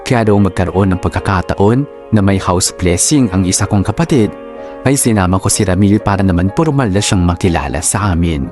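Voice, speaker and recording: Low-voiced male story narrator. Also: moderate pace, darkish tone, faint music behind.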